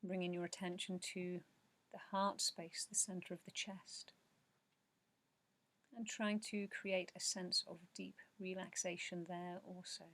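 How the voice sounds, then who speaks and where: A woman with a low, very even voice guides a relaxation practice. She speaks softly and slowly, leaving long pauses and letting phrases trail into breath. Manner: slow, soothing, measured, with long pauses. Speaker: meditation guide. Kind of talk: guided meditation narration, yoga nidra.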